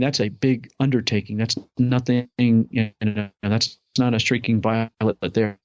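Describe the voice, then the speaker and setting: Excited talky guy, kinda muffled lo-fi audio, mid voice. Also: medium pitch, no echo. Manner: upbeat, enthusiastic, rambling. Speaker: podcast guest. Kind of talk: casual interview chat. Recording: dull lo-fi audio.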